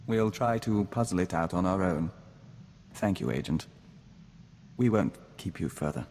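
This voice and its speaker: A man's voice: low-pitched, animated, measured pace, a little mellow. A man playing a serene diplomat joined to an insect hive mind in a game, speaking formally and with measure, calling himself "we.". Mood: polite, curious. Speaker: game companion character. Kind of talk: scripted game dialogue acting. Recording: reverberant hall, clear background noise.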